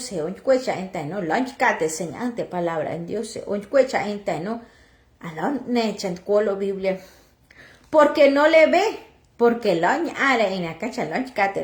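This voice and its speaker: A woman from Oaxaca whose first language is Chatino, slowly reading scripture and preaching, with halting restarts and self-corrections as she translates. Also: fairly high pitch, reverberant. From Oaxaca, Mexico. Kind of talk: slow Bible reading and sermon, translated into Chatino.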